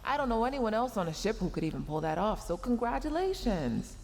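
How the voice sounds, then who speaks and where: A woman's voice: a little high, very animated, brisk, a little mellow, in a large hall, slight background noise, low-quality recording. A woman voicing a tsundere mech engineer in a sci-fi audio roleplay, sarcastic and exasperated, scolding while secretly caring. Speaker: roleplay voice performer.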